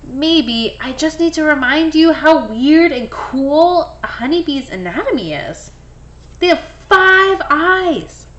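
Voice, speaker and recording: Female picture-book reader, unhurried, expressive, very varied pitch. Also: dull audio. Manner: expressive, acts out dialogue. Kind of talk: animated children's read-aloud.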